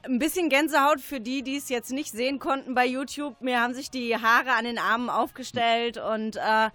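A woman's voice: fairly high pitch, steady tone, normal speed, a bit bright, no echo, faint music behind. A woman hosting a radio interview in a conversational style.

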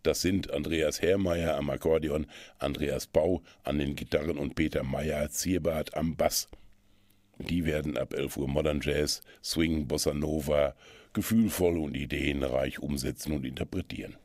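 A man hosting a radio culture programme, presenting event listings in a measured, explanatory way. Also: a bass voice, fairly even intonation, darkish tone, dry recording, studio quality.

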